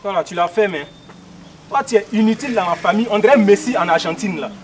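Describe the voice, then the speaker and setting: A man's voice: sits high, fast talker, slight background noise. A man in a comedy sketch, bickering and accusing. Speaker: comic actor.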